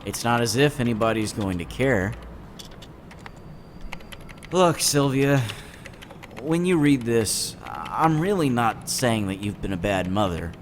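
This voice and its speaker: A man's voice: mid-range voice, expressive, takes it slowly, long pauses, a little hiss or hum. A man playing a gloomy, sardonic teenage boy in a comic dub, with a dry, jaded, matter-of-fact delivery. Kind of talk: scripted comic-dub acting, first-person narration and dialogue.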